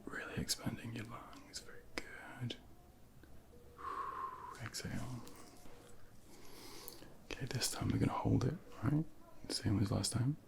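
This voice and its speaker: A man murmurs close to a binaural microphone, often slipping into a whisper. His voice is breathy and full of deep vocal fry. He plays a junior doctor in an ASMR exam, giving gentle, reassuring instructions one step at a time. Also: measured pace, long pauses. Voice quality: breathy, whispery, with heavy creak. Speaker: ASMR performer role-playing a junior doctor. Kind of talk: soft-spoken ASMR medical role play.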